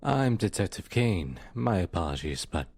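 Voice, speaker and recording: Man acting a deep, husky, serious detective. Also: sing-song, a little mellow, no echo, heavily acted. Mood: calm, official. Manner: measured, formal, composed. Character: calm, formal, serious male police detective. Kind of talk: audio roleplay acting.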